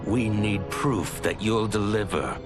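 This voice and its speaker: A man's voice: on the low side, slow pace, over music. A man playing a suspicious, demanding rebel warlord in a video game cutscene. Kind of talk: video game villain acting.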